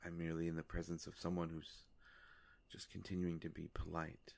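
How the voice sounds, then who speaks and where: A man playing a courteous, ancient vampire in a roleplay audio drama, speaking in a halting, formal, soft-spoken way. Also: low voice, completely flat delivery, creaky, a little hiss or hum. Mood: humble, hesitant. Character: courteous, ancient male vampire, gentle and hesitant.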